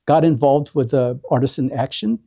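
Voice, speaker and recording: Halting older American man, mellow voice. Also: medium pitch, vocal fry, breathy edge. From Hawaii, United States. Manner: halting, hesitant, repeats and restarts words. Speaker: artist presenting an award ceremony. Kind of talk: informal prepared speech to an audience.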